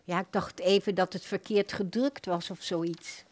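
A woman's voice: lowish voice, fairly even intonation, normal speed, darkish tone, lightly acted. An actress playing an older, thrifty and easily puzzled woman customer in a radio play, polite, hesitant and rambling. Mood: hesitant, apologetic.

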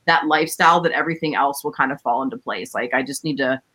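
Bright-voiced laid-back woman DJ. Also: fast, slightly rough. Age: 30s. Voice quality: says her voice is deep. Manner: laid-back, laughs often, slangy. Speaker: DJ and podcast co-host. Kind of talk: casual chat.

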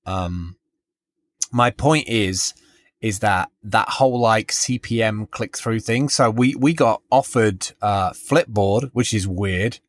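A man's voice: lowish voice, slow pace, no room sound, studio quality. A man co-hosting a podcast in casual, rambling chat, often interrupting himself as he tells a story. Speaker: podcast co-host.

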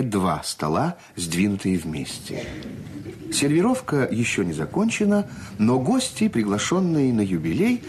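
A man narrating a scripted 1970s Soviet radio play, reading out the stage directions in a measured way. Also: mid-range voice, darkish tone. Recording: noisy old radio recording.

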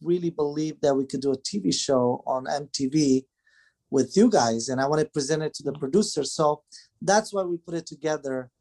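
A man's voice: on the high side, normal speed, mellow. A man in his forties from Romania, speaking English with a Romanian accent as a second language, answering interview questions hesitantly with many filler sounds. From Transylvania, Romania. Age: 40s. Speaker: guest, band manager and father. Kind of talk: casual interview chat.

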